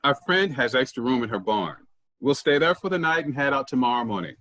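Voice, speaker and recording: A man's voice: medium pitch, sing-song, fairly quick, a bit bright. A man playing an easygoing, helpful member of a travelling theater troupe in a staged play reading.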